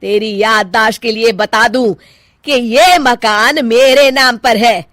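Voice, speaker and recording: A woman's voice: a little high, fairly even intonation, takes it slowly, a bit bright, no room sound, studio quality. A woman voicing a bossy, fearless older mother-in-law in a cartoon moral story, scolding, taunting and commanding. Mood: threatening.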